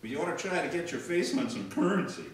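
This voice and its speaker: Stern older commander, wry and curt, lively intonation, acted by a man for radio comedy in an echoey room. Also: on the high side, fast, distant mic. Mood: wry, defensive. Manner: dry, curt, exasperated. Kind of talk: live old-time radio comedy skit.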